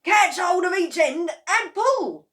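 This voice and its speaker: A woman's voice: extremely high pitch, lively intonation, slow pace, bright, forceful tone, pitched above the performer's own voice, full character voice. Gloating gleeful husband, as a woman puts him on. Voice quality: gloating, crowing. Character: gleeful spiteful middle-aged husband.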